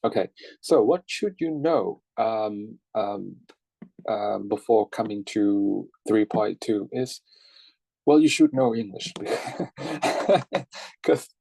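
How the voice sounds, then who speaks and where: A young Thai man gives a relaxed online lecture, his low voice gravelly and husky. He talks in a loose, friendly way, stacking fillers and asking "right?" after his points. The sound is dry and close, as on a computer mic. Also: slow, dry recording, low-quality recording. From Thailand. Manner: chatty, filler-heavy, checks in with "right?" and "okay?". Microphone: computer mic on video call.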